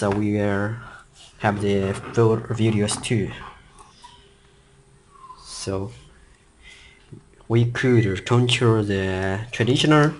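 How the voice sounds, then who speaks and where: A man giving an unscripted product demonstration, halting and repeating himself as he searches for words. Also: fairly low pitch. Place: indoors at a worktop. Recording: noisy handheld recording with clicks.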